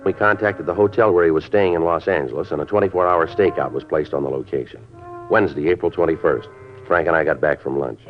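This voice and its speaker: A man acting a terse, stoic police detective, speaking in a clipped, matter-of-fact deadpan in 1950s radio drama style. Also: extremely low pitch, fast, faint music behind. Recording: dull vintage radio recording.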